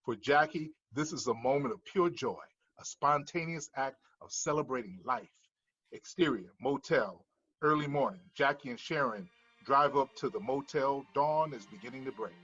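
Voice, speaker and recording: A man's voice: mid-range voice, moderate pace, a bit bright, slightly rough, muffled recording. A man narrating the stage directions of a screenplay at a table read.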